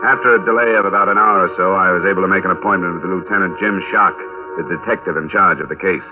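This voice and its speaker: An actor playing a businesslike, hard-boiled American insurance investigator in old radio detective style. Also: male voice, low-pitched, fairly even intonation, quick pace, natural voice. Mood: clipped narration. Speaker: radio drama lead and narrator. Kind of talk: 1950s radio detective acting. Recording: narrow-band old radio, music behind.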